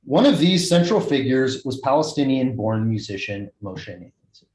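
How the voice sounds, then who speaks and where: A man at an academic conference, reading a prepared research paper in a measured, formal way over a video call. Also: mid-range voice, big pitch swings, a little mellow. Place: video-call recording, echoey room. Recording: echoey video-call recording.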